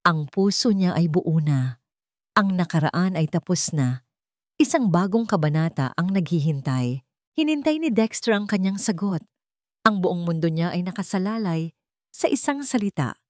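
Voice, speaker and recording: A Filipino woman narrates a scripted love story in a dramatic style. Her voice sits low and moves with lively, animated melody. The sound is dry and close. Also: moderate pace, no echo.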